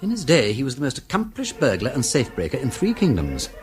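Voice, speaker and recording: Radio drama lead: flippant aristocratic sleuth, upper-class English, extremely varied intonation, faint music. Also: male voice, mid-range voice, huge pitch swings, fast. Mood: chatty, amused. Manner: breezy, flippant, urbane. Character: breezy, chatty aristocratic gentleman detective. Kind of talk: British radio drama acting.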